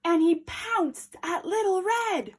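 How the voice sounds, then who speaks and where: A woman storyteller reading aloud to children, expressive and deliberate, with dramatic emphasis. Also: extremely high pitch.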